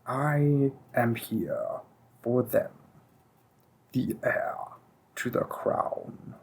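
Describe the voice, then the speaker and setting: A man voicing a possessive, seductive male demon king in an audio roleplay, speaking softly and often in a whisper, slow and teasing. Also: medium pitch, sing-song, vocal fry, slightly rough, heavily acted.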